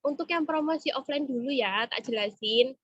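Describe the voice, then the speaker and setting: A woman's voice: high voice, moderate pace, no room sound. A woman with a cold gives an informal, conversational training presentation over an online meeting, often checking in with her listeners. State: has a cold and cough. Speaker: webinar presenter and retail trainer. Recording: muffled, dull online-meeting audio.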